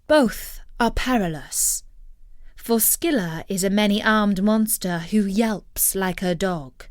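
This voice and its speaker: A woman's voice: mid-range voice, slow, dry recording, studio quality, natural voice. A woman voicing a beautiful, seductive witch from a storybook, wheedling and commanding in a theatrical way. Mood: wistful, warning. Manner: theatrical, coaxing. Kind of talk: storybook character voice.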